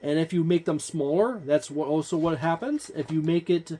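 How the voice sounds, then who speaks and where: A man's voice: on the high side, animated, moderate pace, mellow. A man giving an unscripted review of a tabletop game book, meandering and thinking aloud as he goes.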